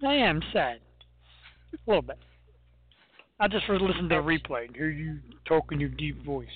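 A man co-hosting a casual radio show, his voice pitched high and swinging widely as he jokes and teases. The sound is dry and thin, with a phone-like narrow band. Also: normal speed, slightly rough. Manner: joking, teasing, animated.

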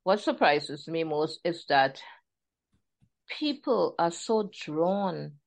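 A woman from Trinidad and Tobago, an author chatting in an interview, animated and acting out the voices she quotes. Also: deep voice, slow, gravelly. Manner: animated, laughing storyteller who acts out quotes. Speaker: author guest. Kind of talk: casual interview chat.

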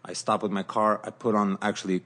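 A man's voice: lowish voice, brisk. A man from southern Africa, raised in Israel, chatting as a pitmaster guest on a podcast, telling his stories with animation and frequent laughs. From born in southern Africa, raised in Israel. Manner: animated storyteller, laughs often. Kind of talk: casual podcast chat.

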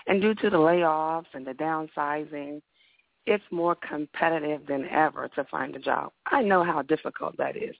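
A woman with a raspy voice hosting a radio talk show in a studio, upbeat and often repeating her words. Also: low voice, dry recording, phone-quality sound.

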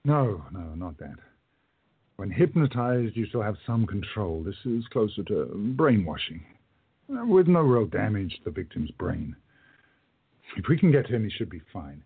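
An actor playing a calm, protective time traveller in a radio play, speaking in a measured, firm way. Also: male voice, fairly low pitch, husky, dry recording, full character voice. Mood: grave, knowing. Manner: measured, firm, hushed at times. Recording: narrow muffled phone-like audio.

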